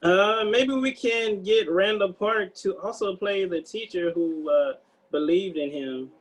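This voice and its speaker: A man playing an anxious screenwriter in a table read, halting and hesitant as he speaks. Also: high voice, low-quality recording. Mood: polite unease. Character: anxious screenwriter man pitching his action script to producers.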